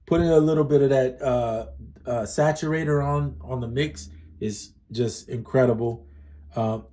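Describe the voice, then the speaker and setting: A man with a mellow, relaxed tone talks casually through a music gear demo. He rambles, corrects himself mid-sentence and leans on plenty of fillers. He sounds slightly congested. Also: mid-range voice.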